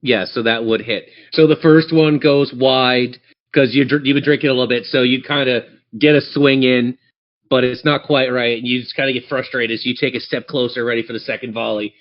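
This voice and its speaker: A man's voice: mid-range voice, fairly even intonation, fairly quick, muffled recording. A man narrating a tabletop fantasy game as game master, theatrical yet conversational.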